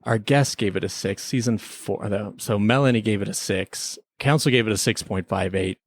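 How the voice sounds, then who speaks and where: A man's voice: on the low side, moderate pace, no room sound. A man hosting a podcast, bantering in a teasing, mock-formal courtroom style. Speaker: podcast host. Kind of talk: semi-scripted mock-tribunal podcast banter.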